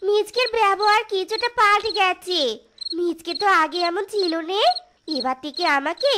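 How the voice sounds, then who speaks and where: A woman voicing a suspicious, scolding village wife in an animated folk tale, theatrical and nagging. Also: very high-pitched, normal speed, heavy background noise. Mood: puzzled, suspicious. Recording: loud background music.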